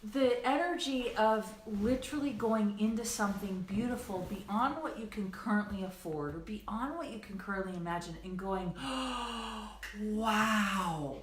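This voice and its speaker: A woman's voice: medium pitch, normal speed, few pauses, a little hiss or hum. A woman with a mid-range voice co-hosts an online coaching session. She chats informally and gushes with enthusiasm, rambling along and checking in with listeners. The sound is roomy and echoing. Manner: giggly, gushing, rambling with frequent "right" and "like". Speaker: co-host of a coaching livestream. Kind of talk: enthusiastic casual chat to an online audience.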